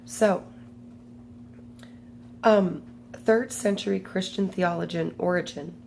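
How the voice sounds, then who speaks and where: A woman with a cold and a strained voice, reading a spiritual book aloud and adding her own comments in a slow, halting way. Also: lowish voice, steady tone, creaky, darkish tone, a little hiss or hum.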